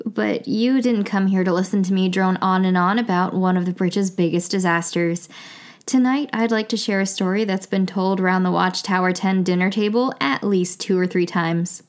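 A woman's voice: medium pitch, moderate pace, close to an everyday voice. A woman playing a wry, reflective watchtower broadcaster in an audio drama, narrating in an intimate scripted style. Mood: confiding. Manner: reflective, dryly humorous.

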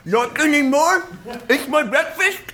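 A woman's voice: high-pitched, lively intonation, a little slow, pushed and bright, full character voice. A woman voicing a greedy, selfish young boy who whines and mocks in a comedic audio drama. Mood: defiant. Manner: whiny, mocking, bossy.

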